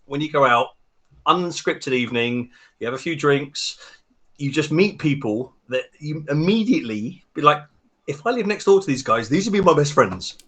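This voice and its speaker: A man's voice: a little high, lively intonation, normal speed, fairly bright tone. A man with a South London accent, a guest talking about home mining in a chatty, upbeat, self-mocking way. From Texas, raised in London from age eleven. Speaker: guest, home bitcoin miner and hardware maker. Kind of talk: casual podcast chat.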